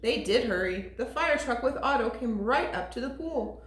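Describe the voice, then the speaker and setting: A woman teacher reading a storybook aloud to toddlers in an expressive, sing-song way. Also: fairly high pitch, normal speed. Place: classroom. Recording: echoey room.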